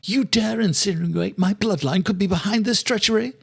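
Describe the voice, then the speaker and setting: An indignant noblewoman of a vampire council, played by a male narrator speaks high and formally. She is sharp-tongued, in dramatic audiobook style. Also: moderate pace, gravelly, slightly rough, full character voice.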